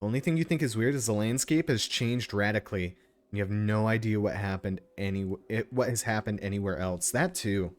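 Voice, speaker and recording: A man's voice: on the low side, fairly quick. A man giving casual, sarcastic and sweary live commentary as he plays a video game. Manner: sarcastic, profane.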